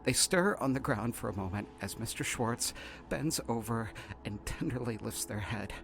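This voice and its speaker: A man playing a shaken, earnest researcher in an audio drama, giving halting, haunted, stammering testimony. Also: mid-range voice, animated, vocal fry, music in the background. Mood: grave. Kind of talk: dramatic first-person audio drama narration.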